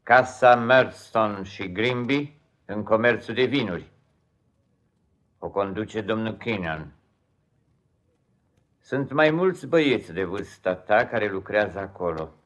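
A severe stepfather in a 1950s radio play. His voice is low, and he speaks with formal, measured coldness, as someone used to being obeyed. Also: animated, fairly bright tone. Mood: cold, stern. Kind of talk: 1950s radio drama acting.

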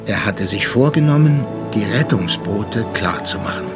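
An actor as the grave narrator of a sea tragedy in a radio play, measured, solemn and dramatic. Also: male voice, on the low side, lively intonation, music in the background, close to an everyday voice. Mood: tense.

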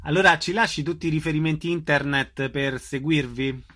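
Higher-pitched man interviewing. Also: steady tone, moderate pace, pushed and bright. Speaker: interviewer, host. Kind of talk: conversational interviewing.

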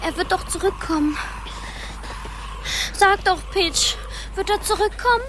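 A woman's voice: very high-pitched, unhurried, background noise. A woman playing a plucky, outspoken and plaintive small girl in a radio play. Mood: anxious. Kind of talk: German radio play acting.

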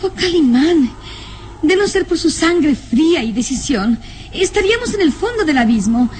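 A fearful young lady traveller in an old radio melodrama. Her voice is extremely high and pleading, full of exclamation, on a clearly noisy vintage recording. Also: very animated, normal speed, darkish tone. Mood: shaken, admiring. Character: frightened, admiring young woman traveller in peril.

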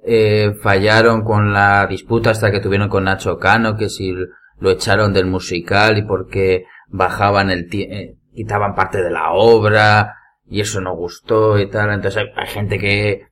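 A man's voice: fairly low pitch, flat delivery, a little slow, a bit bright. A man with an accent from Spain in casual conversation with friends on a podcast. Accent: Spanish from Spain. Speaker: podcast panelist. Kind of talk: casual chat among friends.

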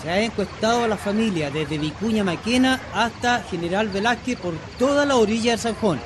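A man's voice: high-pitched, lively intonation, brisk. A man playing an earnest Chilean community leader in a radio drama, exhorting and pleading like an orator at an assembly. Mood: rallying. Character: earnest male community leader of poor shantytown dwellers. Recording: noisy recording with crowd clamour behind.

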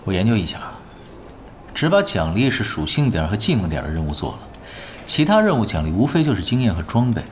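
A man in his twenties playing a laid-back veteran pro gamer in an audio drama, with a relaxed, dry, teasing way of talking. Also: lowish voice, a little fast, slight background noise. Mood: wry. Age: 20s. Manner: easygoing, playfully deadpan.

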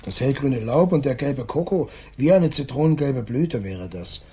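A man playing an exasperated, absent-minded middle-aged father of two in a German radio play, with a husky voice. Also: mid-range voice, animated, moderate pace, dark tone. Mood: regretful, subdued.

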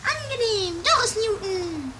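Very high-voiced excitable sister played by a woman. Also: unhurried, exaggerated voice. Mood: eager. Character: excitable, dramatic female sister, eager adventurer. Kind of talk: amateur comedy radio play. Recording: noisy 1980s homemade radio recording.

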